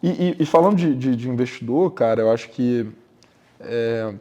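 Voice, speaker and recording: A man's voice: mid-range voice, expressive, normal speed, mellow, muffled recording. A Brazilian man hosting a podcast interview, often hesitating and repeating words as he asks his questions. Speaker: podcast host. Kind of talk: casual interview talk.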